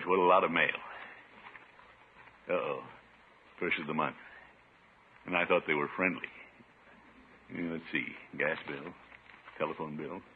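Blustery American uncle, old tinny radio. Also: male voice, sits low. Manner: blustery, chuckling, grumbling to himself. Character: pompous, good-humored middle-aged uncle and household head. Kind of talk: 1950s radio comedy acting. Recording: tinny, noisy 1950s radio recording.

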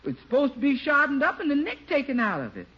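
A woman's voice: high-pitched, huge pitch swings, quick pace. A woman playing a forthright, good-humored American housekeeper in a 1950s radio comedy, folksy and pointed. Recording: dull old radio recording.

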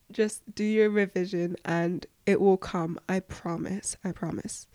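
A young woman with a voice croaky from flu, giving casual, earnest advice as a podcast host answering questions. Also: lowish voice, fairly even intonation, takes it slowly, warm tone, no echo. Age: young adult.

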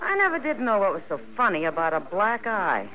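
A woman's voice: mid-range voice, sing-song, a little fast, sharp tone, rough recording. An actress playing a no-nonsense, kindly frontier saloon woman, teasing but firm, in a radio western. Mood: concerned, firm. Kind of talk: 1950s radio western acting. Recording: old radio recording, busy background chatter.

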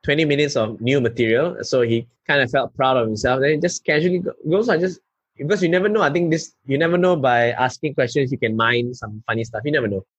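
Husky Malaysian man telling stories. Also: medium pitch, quick pace, no room sound, lo-fi sound. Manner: animated anecdotes, local particle "lah". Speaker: stand-up comedian, guest. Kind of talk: casual interview storytelling.

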